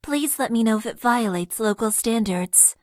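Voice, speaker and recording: A woman's voice: fairly high pitch, normal speed, no echo, studio quality, lightly acted. A woman voicing a shy, stiff young mecha pilot. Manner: soft-spoken, stiff and literal. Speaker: voice actress. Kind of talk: game character voice lines.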